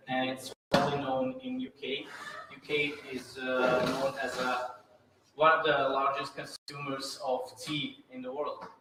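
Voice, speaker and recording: A bartender from Latvia, a man explaining his cocktail step by step with hesitant fillers. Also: medium pitch. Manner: explains steps carefully, hesitant fillers, slightly non-native phrasing. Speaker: bartender competitor. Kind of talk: presenting while making a cocktail. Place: large indoor event venue. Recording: very echoey hall, distant mic, noticeable noise.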